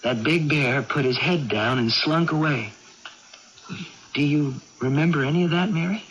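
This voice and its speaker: A muffled, hissy radio recording carries an actor playing a patient young man with a light voice. He speaks gently and earnestly, a little hesitant, in 1980s radio drama style. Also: a little high, normal speed, natural voice. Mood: earnest coaxing. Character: earnest, patient young brother of a troubled woman. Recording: dull, noisy old radio broadcast.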